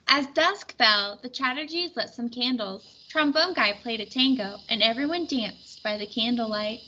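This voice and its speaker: A woman reading a children's picture book aloud with expressive storytelling. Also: a little high, moderate pace, dull audio, a little hiss or hum. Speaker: storybook reader.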